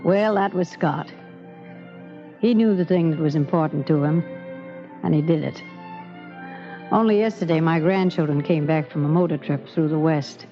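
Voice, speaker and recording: A woman's voice: deep voice, moderate pace, long pauses. An actress playing a spirited frontier woman in a 1940s radio drama. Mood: wistful. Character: spirited frontier woman Dixie, later an old-timer widow remembering. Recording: dull vintage radio recording, music behind.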